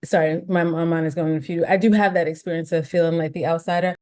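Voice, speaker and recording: American woman hosting a podcast, fairly low voice, reflective, thinks out loud with lots of restarts. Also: level tone. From United States. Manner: reflective, thinks aloud with restarts and quick asides. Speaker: podcast host. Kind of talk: conversational interview chat.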